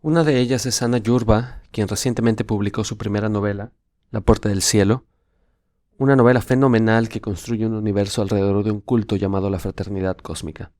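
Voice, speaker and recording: A man's voice: on the low side, steady tone, brisk, darkish tone, no echo, clean studio sound. A man hosting a literary podcast, speaking in Spanish as narrator and interviewer.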